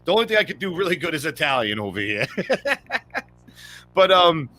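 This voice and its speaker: A man co-hosting a casual sports podcast, talking in an animated way and laughing often. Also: a little high, faint music behind. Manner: animated, laughs often, breaks into quick impressions. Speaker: podcast co-host.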